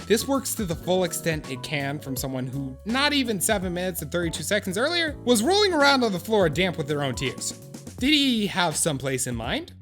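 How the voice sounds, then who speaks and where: A man performing an earnest, excitable storyteller in a comic audio drama. His fairly high voice swings widely as he narrates with theatrical, mock-solemn gravity. Soft music plays behind him. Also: fairly quick. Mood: excited. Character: earnest, excitable male narrator of an awkward would-be friend. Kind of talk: dramatic second-person scripted narration with comic profanity. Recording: music under the voice. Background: background music.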